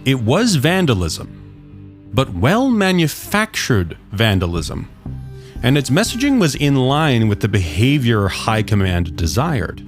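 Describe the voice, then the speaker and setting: A man's voice: mid-range voice, big pitch swings, a little slow, soft background music. A man reading his own science fiction short story as a scripted audiobook narration. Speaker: author and narrator of short stories.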